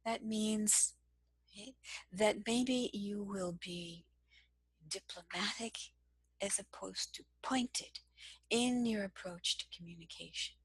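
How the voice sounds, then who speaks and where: A woman with a soft, very breathy voice teaches in a slow, measured way. Her tone stays gentle and carries a gravelly edge of fry. The sound is close and dry. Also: medium pitch, no echo, rough recording. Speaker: cross-cultural communication trainer. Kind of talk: instructional lesson to camera.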